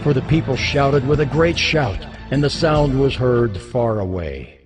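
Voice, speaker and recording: A man narrating scripture as a scripted dramatized reading, solemn and expressive in his storytelling. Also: medium pitch, unhurried. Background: music.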